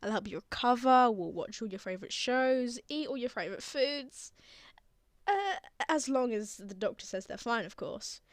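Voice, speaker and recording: A woman playing a gentle, reassuring father who comforts a frightened child in a tender ASMR roleplay. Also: on the high side, lively intonation, moderate pace, no echo, studio quality, natural voice. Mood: warm, earnest. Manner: soothing, tender, earnest.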